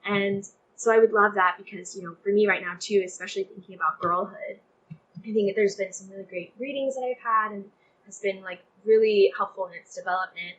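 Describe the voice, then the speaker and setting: A woman's voice: medium pitch, fairly even intonation, a little fast, a bit bright, slight background noise, poor audio. A young woman chatting casually as an interview guest, speaking in an effusive, emphatic way peppered with "like". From Texas, United States. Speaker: playwright guest.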